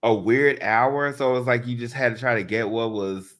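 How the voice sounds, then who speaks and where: A man's voice: medium pitch, fairly even intonation, normal speed, fairly bright tone. A man co-hosting a podcast, chatting casually in an informal, slangy way. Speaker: podcast co-host. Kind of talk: casual chat.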